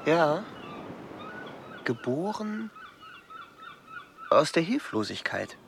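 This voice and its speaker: Sardonic, creaky-voiced man. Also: on the high side, sing-song, drawn-out words, full character voice. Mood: wry. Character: male companion, sardonic, grudgingly sympathetic. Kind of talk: German radio play acting. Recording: noisy outdoor ambience with geese.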